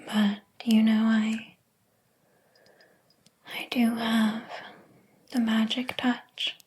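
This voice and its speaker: A woman voicing a jealous, possessive hairdresser in an ASMR roleplay, whispering breathily in a slow, theatrical, wounded and haughty manner. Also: a little high, little pitch movement, a little mellow, husky, full character voice. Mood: possessive, disdainful. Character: jealous, possessive hairdresser, obsessed with favorite client.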